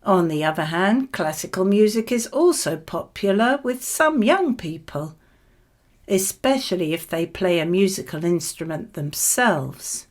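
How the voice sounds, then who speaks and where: Woman doing sample english exam answers, really unhurried, clear careful wording, chatty but read out. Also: lowish voice, animated. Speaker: model candidate in an English speaking exam lesson. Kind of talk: read-aloud model exam answers, conversational.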